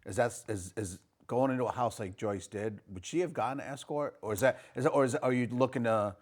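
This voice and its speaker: A male host asks questions in a relaxed talk-show conversation. He often stops, restarts and rephrases before getting to the point. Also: medium pitch. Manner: halting, restarts his questions. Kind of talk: casual interview conversation.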